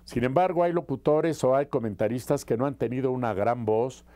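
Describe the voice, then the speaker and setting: Guy explaining stuff in interview, lowish mellow voice, very dry sound. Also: brisk, no room sound. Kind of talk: conversational interview talk.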